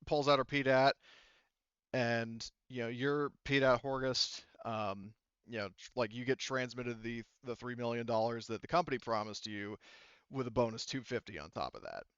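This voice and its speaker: A man acting as game master, improvising narration and rulings in a halting, explanatory way. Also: mid-range voice, a bit bright, clear, resonant tone, dry recording, muffled recording.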